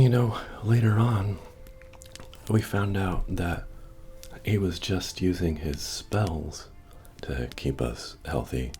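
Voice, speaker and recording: A man playing a friendly, humble medieval innkeeper in a fantasy ASMR roleplay, speaking softly and often near a whisper as he tends to a guest. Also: full character voice. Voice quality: deep, soft, often dropping to whisper. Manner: unhurried, hospitable, murmuring to a guest. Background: soft bard music.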